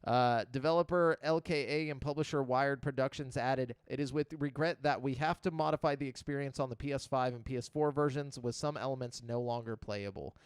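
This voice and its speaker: A man's voice: mid-range voice, brisk, few pauses, smooth, no room sound. A man hosting a gaming podcast, reading news and chatting casually with some joking and swearing. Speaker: podcast host.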